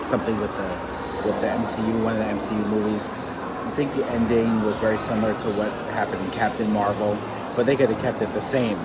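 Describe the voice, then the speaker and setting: Low-voiced man vlogging over street noise. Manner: rambling, conversational, bluntly opinionated. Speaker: vlogger reviewing movies. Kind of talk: casual spoken movie review while out and about. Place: outdoors on city streets, walking. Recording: clear outdoor street and traffic noise.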